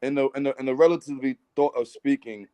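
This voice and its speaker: A man with a deep baritone voice, a rapper guest chatting casually and reflectively in an interview. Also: quick pace, dark tone.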